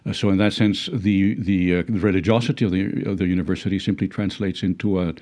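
A man's voice: sits low, steady tone, fast talker, darkish tone. A middle-aged man with a Dutch accent, a professor talking reflectively in an interview, hesitant with many fillers and restarts and wry chuckles. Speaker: legal philosophy professor.

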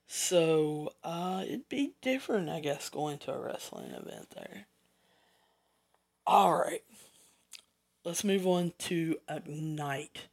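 Southern-accented solo podcaster, unhurried, wanders into side chatter, corrects themselves. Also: medium pitch. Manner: rambling, chatty asides, self-corrections. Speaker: podcast host. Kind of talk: casual solo podcast rundown.

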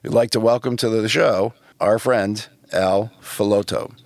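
A man's voice: lowish voice, steady tone, unhurried, no room sound, clean studio sound. A man, co-hosting a podcast in a conversational style. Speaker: podcast co-host.

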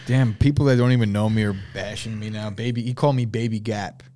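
A man co-hosting a casual podcast, joking and swearing freely in a low-key way. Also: steady tone, fast, warm tone, slight background noise. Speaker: podcast co-host.

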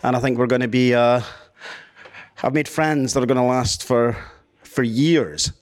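A man with a blended Scottish-Australian accent chats as a guest comedian. He has a mid-range voice and a wry, self-deprecating way of answering in a relaxed interview. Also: mid-range voice, unhurried. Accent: Scottish-Australian hybrid. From Scotland. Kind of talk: casual interview chat.

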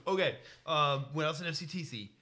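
A man's voice: fairly high pitch, quick pace, sharp tone. A young man co-hosting a podcast, telling a rambling story in casual chat and laughing often. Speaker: podcast co-host.

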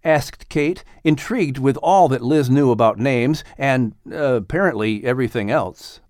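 A man narrating a children's story audiobook in an expressive, scripted storytelling style. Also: mid-range voice, normal speed, no room sound, clean studio sound.

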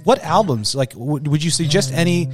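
A man's voice: on the high side, animated, normal speed, faint music behind. A man co-hosting a podcast as a rabbi, upbeat and laughing, with rambling questions.

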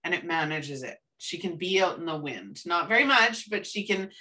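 A woman's voice: on the low side, lively intonation, normal speed, pushed and bright, far from the mic, poor audio. A Canadian woman co-hosting a casual podcast chat, talking with enthusiasm and plenty of restarts. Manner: enthusiastic, rambling, frequent restarts. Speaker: podcast co-host.

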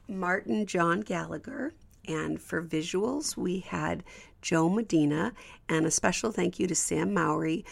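Low-voiced, creaky woman podcast host. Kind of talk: informal thanks and announcements to listeners.

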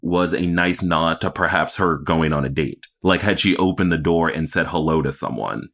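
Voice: rumbling low, moderate pace, fairly bright tone. A podcast host chatting casually about television in an opinionated, playful way. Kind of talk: casual TV recap chat.

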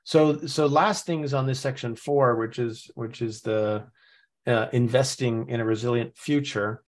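A man from Norway, hosting a podcast in casual chat, thinking aloud as he reads through material with frequent restarts. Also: medium pitch. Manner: thinks aloud, reading and musing with many restarts. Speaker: podcast host. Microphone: remote call setup.

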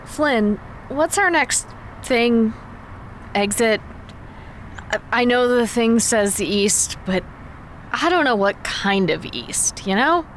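A woman's voice: fairly high pitch, animated, slow, pauses often, slight background noise, low-quality recording. A woman playing a restless, playful passenger on a road trip in an audio drama. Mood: restless, casual. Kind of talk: audio fiction acting, sometimes singing along.

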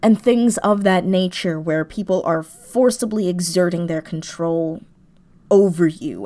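Female interview guest, creative type. Conversational, reflective, halting delivery that doubles back on itself. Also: lowish voice, a little mellow. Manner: thoughtful, halting, circles back over phrases. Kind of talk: casual, reflective interview chat.

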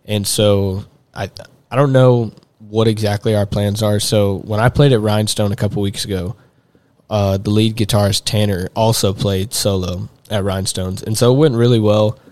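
A young man with a drawl talks loosely and at length, leaning on fillers and restarting his sentences. His voice sits a little low, and it is recorded close and dry with no room sound. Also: steady tone, moderate pace, darkish tone, no room sound. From Texas. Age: young adult. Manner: rambling, many fillers and restarts. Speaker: guest. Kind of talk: casual chat.

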